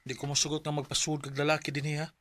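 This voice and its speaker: Man acting a disgruntled young Cebuano man, highish voice. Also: completely flat delivery, quick pace, fairly bright tone, natural voice. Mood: protective. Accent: Cebuano Filipino. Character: disgruntled, protective young man, the heroine's best friend. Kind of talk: radio drama acting.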